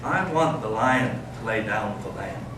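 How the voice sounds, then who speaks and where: A man's voice: fairly low pitch, expressive, normal speed, lightly acted. Echoey, noisy distant recording. Male actor as an anguished, mocking intellectual elder brother, dramatic stage storytelling. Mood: weary, pleading. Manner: dramatic, swinging from hushed to impassioned. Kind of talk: staged readers theater monologue and storytelling. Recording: echoey stage, distant mic, clear noise.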